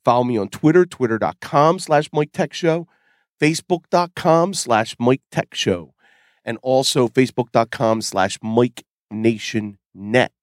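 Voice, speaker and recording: A man's voice: medium pitch, measured pace, no echo, clean studio sound. Man with a cold hosting a tech podcast. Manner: chatty, rambling, with fillers and restarts. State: sick with a cold, losing his voice. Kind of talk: casual solo podcast talk.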